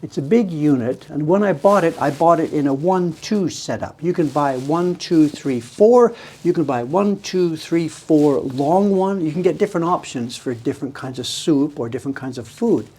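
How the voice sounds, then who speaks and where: A man's voice: fairly high pitch, moderate pace, hardly any pauses, mellow, breathy edge. A man in his seventies chatting casually on a live stream while demonstrating his workshop tools, rambling and breaking into amused laughter. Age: 70s.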